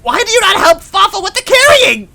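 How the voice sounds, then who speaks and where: A man's voice: sing-song, fairly quick, bright, forceful tone, exaggerated voice. A man voicing a furious small villain in a story, screeching and ranting in mangled, broken grammar. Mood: enraged, exasperated. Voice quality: raspy, screechy. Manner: shrill ranting with mangled foreign-sounding grammar.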